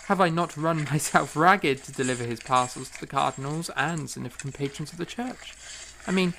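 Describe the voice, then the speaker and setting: Gravelly, indignant young man, acted by a man. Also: mid-range voice, noisy background, close to an everyday voice. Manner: formal, wordy period speech. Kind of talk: audio drama period acting.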